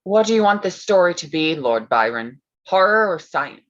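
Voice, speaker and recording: A very low-voiced, self-possessed young woman tells a gothic story in a drama reading a woman acting. She is measured and solemn, and the sound is somewhat muffled. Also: sing-song. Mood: composed, dry. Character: young Mary Shelley, composed, defiant woman storyteller.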